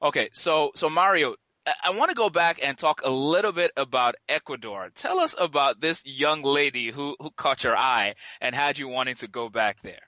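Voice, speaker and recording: A man's voice: a little high, animated, moderate pace, bright, forceful tone, dry recording. A man hosting a podcast interview, upbeat and encouraging as he leads his guest through questions. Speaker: podcast host. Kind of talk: interview host.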